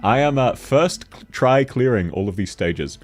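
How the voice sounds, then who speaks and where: A young man with a deep voice streaming a game, chatting casually with viewers, reading their messages aloud and laughing often, a little stuffy from hay fever. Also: lively intonation, moderate pace, soft background music. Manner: giggly, reads chat aloud and answers it, frequent laughs. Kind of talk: casual game livestream chat.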